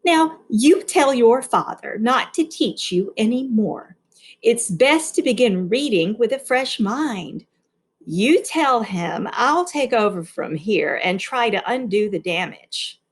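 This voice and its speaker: Woman putting on a prim, sugary schoolteacher lady, higher-pitched. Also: expressive, slow, breathy edge, heavily acted. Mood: condescending. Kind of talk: read-aloud storybook character.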